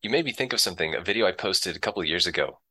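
A man who is a guest and a science educator, talking in casual conversation over a video call, measured and explanatory. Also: low-pitched, expressive, bright, forceful tone.

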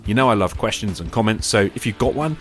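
A man's voice: on the low side, expressive, fast talker, soft background music. A man speaking as a podcast host about tabletop roleplaying, addressing the listener directly and encouragingly.

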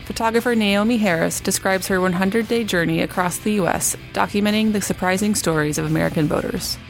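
A woman hosting a magazine podcast, reading measured scripted narration. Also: mid-range voice, vocal fry, soft background music, low-quality recording. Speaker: podcast host, magazine editor.

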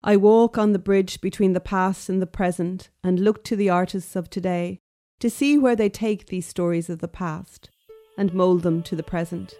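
A woman narrating a documentary in a calm, scripted delivery. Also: on the low side, moderate pace, no room sound, faint music behind.

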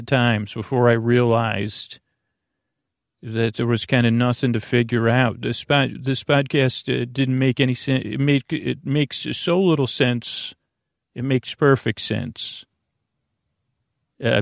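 A middle-aged American man speaks in a creaky, gravelly voice at an ordinary male pitch. He meanders through a bedtime podcast monologue, halting, repeating words and drifting off mid-thought. The sound is dry, with no room echo. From United States. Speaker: podcast host.

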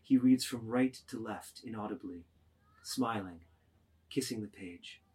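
A man serves as narrator in a scripted literary reading. His voice is fairly low with a husky grain. He delivers stage directions in measured, deliberate phrases. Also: dark tone.

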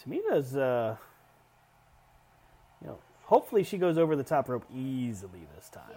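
Animated man reacting to wrestling. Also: medium pitch, normal speed, husky. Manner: jokey, frequently chuckling. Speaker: wrestling watch-along commentator. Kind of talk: casual live reaction commentary.

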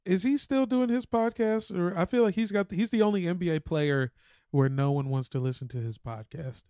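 A man's voice: high voice, normal speed, mellow, clean tone, dry recording, studio quality. A man co-hosting a podcast, chatting casually and joking about sports. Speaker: podcast co-host. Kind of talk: casual comedic sports chat.

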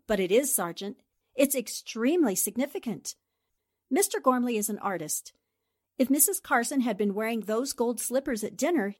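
A sharp-witted newspaper reporter voiced by a woman in a 1940s-style radio mystery, slightly high for a woman. She talks in a poised, precise way, laying out clues step by step. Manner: poised, precise, explains deductions. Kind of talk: amateur audio drama acting.

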